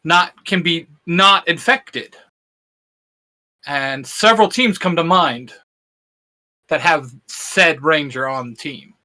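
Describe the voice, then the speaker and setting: A man's voice: fairly high pitch, slow pace, pauses often, sharp tone, low-quality recording. A man co-hosting a casual fan discussion, earnestly breaking down character matchups. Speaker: co-host.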